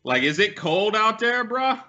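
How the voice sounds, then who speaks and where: A man's voice: sits high, very animated, a little slow, bright, forceful tone, highs cut off. A man co-hosting a podcast with blunt, mocking, casual commentary. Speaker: podcast co-host.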